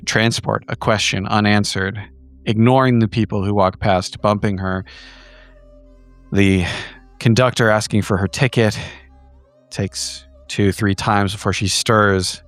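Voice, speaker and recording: A man playing a tabletop roleplaying game, describing a scene in clipped, deliberate fragments. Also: fairly low pitch, fairly even intonation, no room sound, faint music behind. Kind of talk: vivid improvised descriptive narration.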